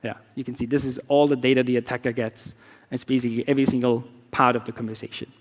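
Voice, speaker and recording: A middle-aged man whose first language is German, giving a conference talk with a live demo in a relaxed, explanatory way with many restarts. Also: medium pitch, fast talker. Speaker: security researcher. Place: large conference stage. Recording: long reverb of a big hall.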